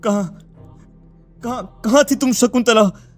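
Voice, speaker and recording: Man as distraught cartoon village father, very high voice, pleading, lamenting, music behind. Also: slow. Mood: grieving. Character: distraught village father searching for his lost daughter. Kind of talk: dramatised animated folk tale.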